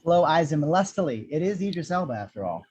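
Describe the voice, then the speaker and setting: A man's voice: on the high side, big pitch swings, fairly quick, darkish tone, slightly rough. A man reading scripted action descriptions as narrator of an amateur table read. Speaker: narrator of stage directions.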